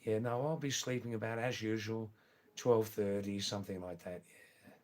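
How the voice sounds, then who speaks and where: A man's voice: on the low side, steady tone, normal speed, darkish tone. An older man with an Anglo-Indian accent chatting casually on a live stream, answering viewers' comments with lots of fillers and restarts. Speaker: livestream host.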